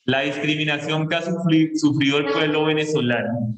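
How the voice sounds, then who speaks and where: Colombian man, teacher, fairly high voice, lecture tone, roomy echo. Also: steady tone. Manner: explains slowly, stretching key words. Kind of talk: online class lecture.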